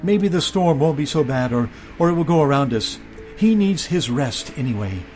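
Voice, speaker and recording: A concerned fisherman acted by a male storyteller talks earnestly with his crewmates. The voice sits fairly high, conversational and a little hesitant, in a Bible tale with faint music. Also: lively intonation, moderate pace, a little mellow, lightly acted. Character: worried, considerate fisherman disciple talking with his crewmates.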